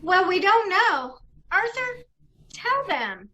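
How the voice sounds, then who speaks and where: A high-voiced, bright young bride from an old-time radio mystery played by a woman. She is coy and anxious, drawing out her words with melodramatic swoops. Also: sing-song, takes it slowly, exaggerated voice.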